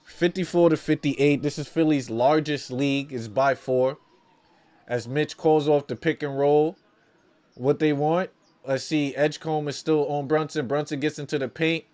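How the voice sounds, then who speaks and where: Higher-voiced man giving fan play-by-play. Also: fairly even intonation, normal speed, darkish tone. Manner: repeats phrases emphatically; slangy, partisan fan talk. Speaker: host of a fan watch-along. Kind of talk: live basketball play-by-play and fan commentary.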